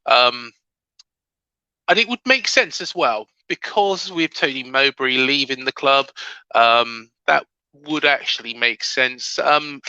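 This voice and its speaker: A man with a slightly croaky voice hosts a football fan show, talking casually and hesitantly as he thinks aloud. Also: medium pitch, pushed and bright, no echo, low-quality recording. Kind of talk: casual transfer-rumour commentary to camera.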